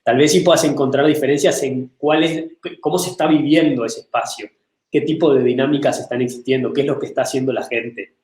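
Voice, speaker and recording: Male panelist, mid pitch, halting delivery with repeated words, echoey room. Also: fairly even intonation, darkish tone. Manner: hesitant, many repeated words. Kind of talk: panel discussion. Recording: roomy echo.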